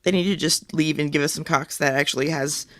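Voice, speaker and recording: Higher-voiced middle-aged man in casual chat. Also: fairly even intonation, a little fast, a bit bright, no room sound. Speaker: podcast co-host.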